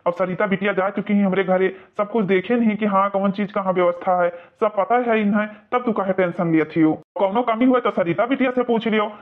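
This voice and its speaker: A high-pitched cartoon groom's father reassuring the bride's family, as a man puts him on. He talks with an Awadhi accent in a boastful, generous way. The sound is muffled and lo-fi. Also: steady tone, a little fast, few pauses, close to an everyday voice.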